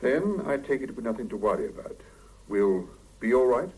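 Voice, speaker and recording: Stern middle-aged department head, very husky, animated intonation, authoritative, vintage cassette radio drama. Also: male voice, medium pitch, normal speed. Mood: concerned, pressing. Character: stern middle-aged male laboratory boss, brisk and exacting. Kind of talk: 1970s British radio drama acting. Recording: muffled 1975 radio drama cassette recording.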